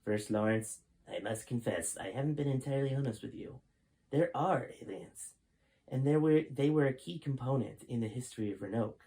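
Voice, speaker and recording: A man's voice: mid-range voice, normal speed, mellow, close to an everyday voice. A man reading the part of a stern, grave male five-star general who lectures in a serious tone.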